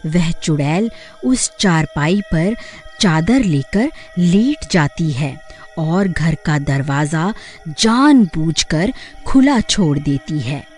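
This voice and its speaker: A woman's voice: fairly low pitch, mellow. A woman reading scripted narration for a cartoon horror story.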